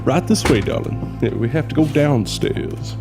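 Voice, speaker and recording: A man's voice: mid-range voice, normal speed, creaky, over music, natural voice. A man playing a courtly but crotchety small-town mortician in an audio drama, with a Southern US accent and a folksy, hammy drawl. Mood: courtly, reassuring.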